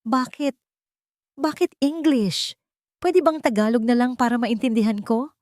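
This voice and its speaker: A woman narrator plays a young wife in a storybook drama, timid and naive. Her questions come out hesitant and confused, lifted in pitch, with a dry close sound. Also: unhurried, darkish tone, dry recording, lightly acted. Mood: pleading confusion.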